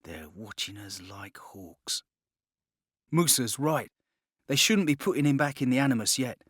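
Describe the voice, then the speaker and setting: A man's voice: medium pitch, animated, measured pace, a bit bright, slightly rough, no echo. A suspicious young East End London man in an audiobook, played by a male narrator. He is hot-tempered, wary and intense, heard in a dry recording.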